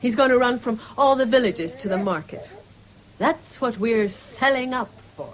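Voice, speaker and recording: A woman's voice: on the high side, lively intonation, normal speed, lightly acted. An actress playing a bitter, weary young mother on a farm in a radio play, complaining sharply. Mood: bitter, fed up. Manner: sullen, complaining, sharp-tongued. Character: bitter, slovenly young farmer's wife, weary mother of two. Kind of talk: 1970s BBC radio play acting. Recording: noisy old radio broadcast recording.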